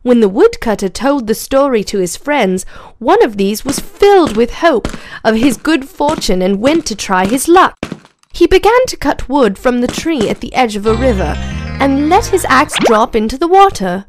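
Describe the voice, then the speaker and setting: A woman with a fairly high voice reads a children's fable aloud in a slow, clear, even way. Background music plays plainly under her narration throughout. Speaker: storyteller. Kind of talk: scripted children's story narration.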